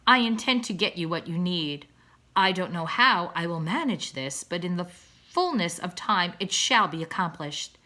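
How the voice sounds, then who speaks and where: Woman reader as male swan father, storybook, pompous, kindly, formal speeches, bright tone, lively swooping pitch. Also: moderate pace. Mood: solemn, promising. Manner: formal, oratorical, emphatic. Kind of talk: children's storybook read-aloud.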